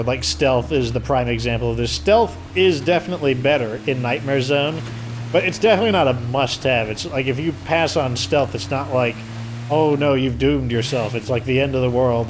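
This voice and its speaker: A man with a hoarse, worn voice talks through his game in loose, rambling commentary full of fillers. His pitch sits in a middle range, and clear game noise runs behind him. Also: moderate pace. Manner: rambling, filler-heavy explaining. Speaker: let's play host. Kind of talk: casual gameplay commentary.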